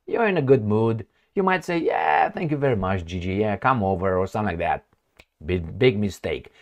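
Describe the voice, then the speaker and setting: A man with a low voice whose pitch swings widely, reading news aloud and then drifting into long sarcastic asides. He stops and restarts often and acts out imagined dialogue. It sounds like an informal one-man commentary channel. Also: very animated, moderate pace. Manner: rambling and sarcastic, breaks into asides and mock dialogue.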